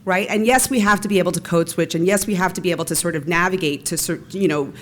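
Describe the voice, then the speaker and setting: Woman panelist, ex-ceo, frank and emphatic, lowish voice, keeps saying right. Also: quick pace. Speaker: panelist, former nonprofit CEO. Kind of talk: panel discussion.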